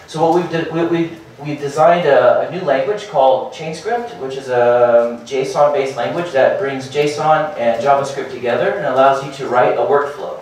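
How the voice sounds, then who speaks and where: A man in his thirties presents his startup to a seated meetup crowd, hurrying and stumbling over fillers and repeated words. His voice sits at an ordinary middle pitch. The recording is picked up from across a very reverberant room, with faint room noise behind. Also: a little mellow. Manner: hurried, filler-heavy, restarts and repeats words. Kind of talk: conference talk. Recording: very echoey room from far off.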